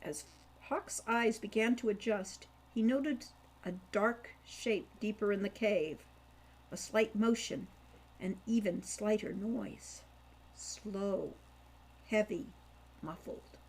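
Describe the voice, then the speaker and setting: A woman's voice: mid-range voice, slow, slightly rough, low-quality recording. A woman reading scripted fiction aloud as an audiobook narrator. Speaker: audiobook reader, author.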